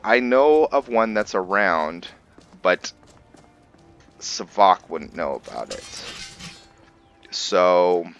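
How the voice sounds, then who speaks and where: Very deep, bright-voiced male gaming host, casual explanatory commentary over music. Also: clear, resonant tone, muffled recording. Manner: musing, explanatory. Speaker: host of a gaming roleplay series. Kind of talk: casual let's play commentary.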